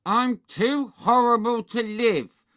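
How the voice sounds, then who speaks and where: A narrator voicing a timid, tattling little boy with plaintive, drawn-out protests in a children's storybook. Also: medium pitch, big pitch swings, a bit bright, voice pushed up. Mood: whiny, plaintive.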